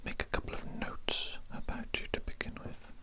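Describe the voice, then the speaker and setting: An evil cannibal doctor, played by a man for an ASMR roleplay, speaks entirely in a breathy whisper. He is formal, menacing and controlling. His phrases come politely and are often repeated. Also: normal speed, close to an everyday voice. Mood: smug, clinical. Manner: formal, politely domineering, repetitive. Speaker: ASMR performer.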